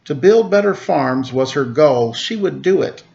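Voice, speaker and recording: A man, a teacher, reading a rhyming picture book aloud to children in a lively way and asking them questions. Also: on the high side, unhurried, gravelly, muffled recording. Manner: lively rhyming delivery with rising questions to listeners. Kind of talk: storybook read-aloud to children.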